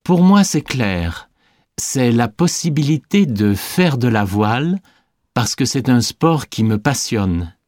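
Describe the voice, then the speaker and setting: An actor playing a young sporty French man answering scripted interview questions with clear enunciation. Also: mid-range voice, very animated, slow, a little mellow, dry recording, clean studio sound. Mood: friendly, measured. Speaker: interviewee in a language listening exam.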